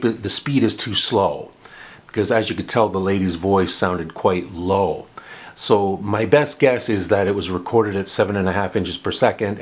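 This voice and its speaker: A man hosting a gadget review, talking casually and unscripted, explaining things and often backtracking or repeating himself. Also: lowish voice. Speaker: host of a gadget review channel. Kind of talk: casual, unscripted product demonstration.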